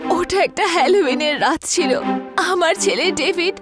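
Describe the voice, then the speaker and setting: A woman's voice: extremely high pitch, moderate pace, loud music behind the voice, voice pushed up. A woman voicing a frightened, guilt-ridden mother, pleading and agitated, in a melodramatic horror cartoon. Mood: guilty confession.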